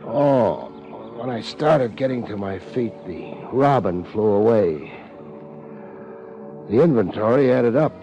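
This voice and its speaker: A man's voice: mid-range voice, expressive, slow pace. A man playing a wry, laid-back but tough private detective in 1950s radio drama, speaking in wisecracking slang. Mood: wry narrating. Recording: muffled old radio recording, music behind.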